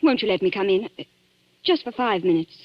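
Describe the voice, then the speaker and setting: A woman's voice: mid-range voice, animated, normal speed, close to an everyday voice. An actress playing a secretive, desperate young lady in a 1940s radio drama, speaking in a genteel, hesitant, urgent way. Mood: nervous, pleading. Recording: muffled dry vintage 1940s radio broadcast.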